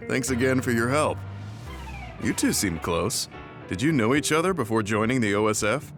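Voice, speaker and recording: A man playing a steady, mature, gently chiding soldier in an English anime game, composed and wry. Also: mid-range voice, moderate pace, over music, lightly acted. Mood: friendly.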